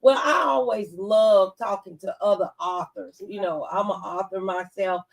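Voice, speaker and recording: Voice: medium pitch, lively intonation, moderate pace, gravelly. An American talk show host interviewing a guest live, with emphatic, announcer-like introductions.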